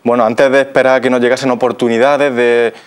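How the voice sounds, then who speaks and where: Clear-voiced Spanish man being interviewed. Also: medium pitch, flat delivery, quick pace. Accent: Spanish (Spain). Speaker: interview guest, trained voice performer. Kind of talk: casual interview talk. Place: TV studio.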